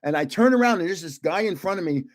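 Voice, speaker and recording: A man's voice: animated, fast. A man in his seventies hosting a casual livestream, telling rambling anecdotes with frequent laughter. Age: 70s. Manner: self-described booming voice when yelling. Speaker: livestream host opening trading cards. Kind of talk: casual storytelling chat.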